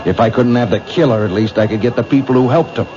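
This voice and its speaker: A man's voice: mid-range voice, fast, a crowd in the background, natural voice. An actor playing a self-righteous male serial killer in a 1970s radio drama, menacing and straining as he is pushed. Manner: menacing, voice rising and straining when challenged. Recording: dull old radio broadcast with hiss.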